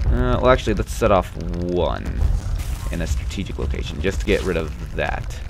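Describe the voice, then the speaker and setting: A young man with a low voice and lively swings in pitch, talking casually over a game he's playing. He rambles and grumbles wryly, and a cold leaves him a bit stuffy with a slight cough. Faint music sits under his voice. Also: normal speed, creaky. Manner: rambling, chatty, wry complaints about the game. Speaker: let's play host. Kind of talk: casual gameplay commentary.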